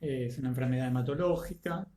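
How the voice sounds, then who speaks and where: A man with a Rioplatense Spanish accent, congested with a cold and fever, greeting students by name before a live online lecture, with many halting fillers. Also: mid-range voice, dark tone, echoey room. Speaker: teacher.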